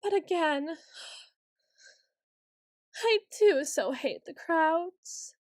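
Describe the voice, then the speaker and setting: A woman's voice: very high voice, animated, very slow, no room sound, studio quality, full character voice. A woman playing a haughty, petulant ancient female vampire in a scripted audio roleplay, speaking in a theatrical, imperious and archly formal way. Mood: haughty, scornful. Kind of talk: scripted ASMR roleplay monologue.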